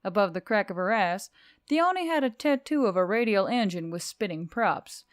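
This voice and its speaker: A woman reading a story aloud as an expressive narrator. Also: mid-range voice, normal speed, dry recording, clean studio sound. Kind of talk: expressive audiobook narration of fiction.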